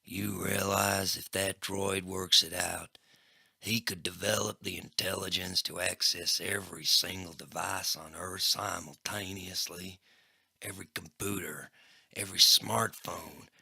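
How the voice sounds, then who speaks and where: A man's voice: sits low, fairly even intonation, a little slow, gravelly, pushed and bright, slightly rough, no room sound, clean studio sound, heavily acted. A man voicing a menacing, foul-mouthed gangster villain in a comedy sci-fi audio play, sneering and coldly threatening. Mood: cold menace.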